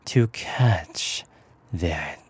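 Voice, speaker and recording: A man voicing a menacing, possessive demon in a breathy ASMR roleplay whisper, coolly polite and formal. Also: deep voice, lively intonation, heavily acted. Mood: softly menacing. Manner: slow, formal, deliberate.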